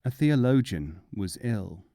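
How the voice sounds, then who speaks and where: Mellow man narrating fables, lively delivery. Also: on the low side, unhurried, no room sound, clean studio sound. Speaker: audiobook narrator. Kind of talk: scripted narration of humorous fables.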